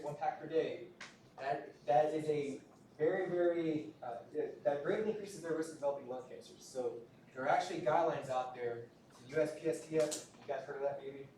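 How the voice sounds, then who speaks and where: A man lecturing in a relaxed, conversational way, circling back over his words and checking in with the class as he goes. His voice sits a little high and sounds set back from the mic. The room rings with a strong echo under a faint hiss. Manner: conversational, repeats words, frequent "right?" checks. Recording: roomy, distant lecture-hall recording with faint noise.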